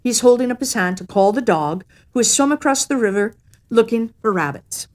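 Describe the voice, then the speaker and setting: A woman storyteller narrating a children's tale expressively, with a measured storytelling cadence. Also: fairly high pitch, animated.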